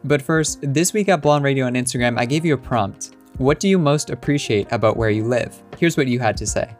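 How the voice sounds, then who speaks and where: A man from Canada hosting a casual podcast, chatting in a relaxed, friendly way. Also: medium pitch, brisk, faint music behind. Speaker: podcast host.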